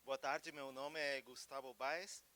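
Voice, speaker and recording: A man's voice: mid-range voice, steady tone, slow pace, bright, forceful tone. Formal man careful Portuguese. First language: Spanish. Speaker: academic speaker. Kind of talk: formal conference remarks in Portuguese.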